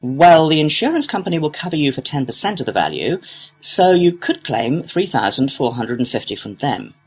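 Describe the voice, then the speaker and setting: Lively, slightly husky male complaints clerk, acted. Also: a little high, normal speed. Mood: courteous, businesslike. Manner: procedural, courteous customer-service delivery. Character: courteous, helpful complaints clerk at an antiques company. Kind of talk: scripted listening-test dialogue.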